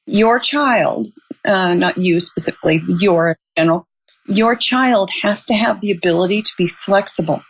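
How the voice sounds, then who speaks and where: A woman in her sixties, a grandmother and author, giving earnest, instructive advice in a measured way. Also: lowish voice. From Montana. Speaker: guest, author. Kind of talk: advice in an interview. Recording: slightly dull lo-fi audio.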